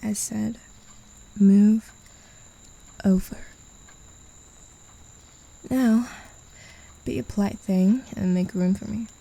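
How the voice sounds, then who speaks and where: A woman's voice: medium pitch, steady tone, very slow, gravelly, long pauses, dark tone, exaggerated voice. A woman voicing a possessive female demon in an ASMR roleplay, talking down to her human pet in a teasing, condescending way, often whispering. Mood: domineering. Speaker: voice actor.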